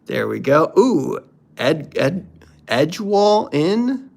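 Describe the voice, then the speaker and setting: A man's voice: on the high side, big pitch swings, takes it slowly, gravelly, a little mellow. A man hosting a casual unboxing commentary, talking in a playful, jokey, exclamatory way. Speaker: host opening card packs.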